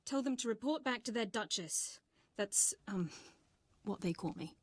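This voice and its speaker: A woman acts out a weary female military officer from a sci-fi game. She speaks in a brisk, blunt, no-nonsense way at a middle pitch, protective of her troops. The sound is dry and studio-close. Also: lively intonation, dry recording, natural voice. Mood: frustrated, urgent. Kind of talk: video game voice acting.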